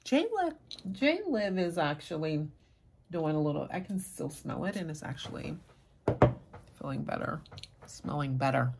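A soft-spoken woman chatting casually as a vlogger, murmuring her thoughts quietly as she talks. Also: low voice, big pitch swings, a little slow, vocal fry, pauses often, background noise. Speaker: fragrance vlogger. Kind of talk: casual chat.

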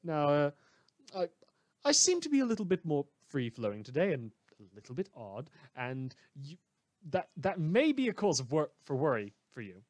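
A man playing an unhinged, sardonic radio host who delivers halting, wordy monologues in a theatrical manner. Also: fairly high pitch, huge pitch swings, no echo. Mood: manic, gleeful. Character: rambling, unhinged male radio host in a superhero world. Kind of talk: satirical radio news monologue.

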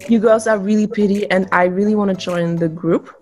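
A young woman questioning auditioners in a deadpan, businesslike way over a video call. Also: medium pitch, fairly quick. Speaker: mock audition judge.

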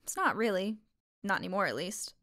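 Young woman early twenties, matter of fact and blunt, average pitch, podcast drama. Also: expressive, brisk, pushed and bright. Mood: blunt, composed. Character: blunt, composed young woman of twenty-two, sister of missing girl. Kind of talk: conversational audio drama acting.

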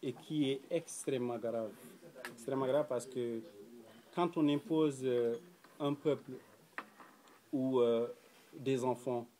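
A young man from Mauritania speaks at a round table, his voice mellow and dark in tone. He talks earnestly and carefully, with frequent hesitations and fillers. He is recorded in a small indoor room by a camera set a few feet away. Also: medium pitch, slow pace, pauses often. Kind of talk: public talk at a round table.

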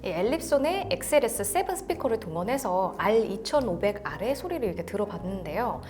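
A woman's voice: mid-range voice, sing-song, fairly quick, a little mellow, soft background music. A woman co-hosting a product review, speaking conversationally with an attentive, enthusiastic manner. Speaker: co-host of audio equipment review.

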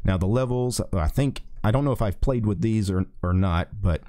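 Deep-voiced man walking through software. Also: moderate pace, no room sound. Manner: relaxed, thinking aloud with small restarts. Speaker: tech tutorial host. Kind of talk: casual screen-recorded software tutorial. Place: small home office. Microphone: desk USB microphone.

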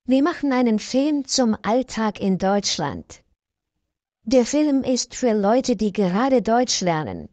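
Female narrator, slow and deliberate, dry close sound, for learner stories. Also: on the high side, lively intonation, a little mellow, no room sound. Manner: slow, clear, evenly paced reading. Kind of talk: slow scripted story reading for learners.

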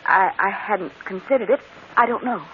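A woman's voice: medium pitch, normal speed, lightly acted. An actress playing a nervous, evasive young woman with a hesitant manner in an old radio drama. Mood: evasive, flustered. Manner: hesitant, stammering. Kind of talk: 1940s radio acting. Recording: tinny, hissy old radio broadcast.

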